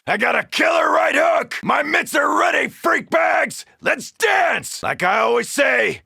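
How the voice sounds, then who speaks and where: An actor playing a tough, cocky American marine in a video game, gravelly and brash, with a swaggering, wisecracking delivery. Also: male voice, very high voice, lively intonation, measured pace, pushed and bright, no room sound, shouting, clean studio sound, exaggerated voice. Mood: fierce, battle-crazed. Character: tough cocky American soldier, gung-ho zombie-slaying marine. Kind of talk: video game character barks.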